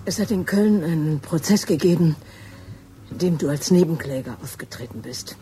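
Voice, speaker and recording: An actress playing a hurt but resolute police detective in a naturalistic German radio drama, speaking with wounded intensity. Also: female voice, deep voice, expressive, moderate pace, warm tone, soft background music. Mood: guarded, pleading.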